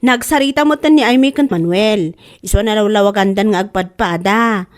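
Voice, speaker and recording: A woman's voice: medium pitch, normal speed, close to an everyday voice. A woman with an Ilocano Filipino accent playing a frank, exclamatory married confidante giving advice in a radio comedy drama. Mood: frank, scolding. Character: frank, motherly older-sister confidante, a married masseuse.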